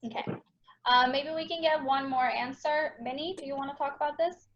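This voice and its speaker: Female panel moderator, fairly high voice, informal, somewhat dull lo-fi sound. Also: steady tone, brisk. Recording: dull, muffled lo-fi audio.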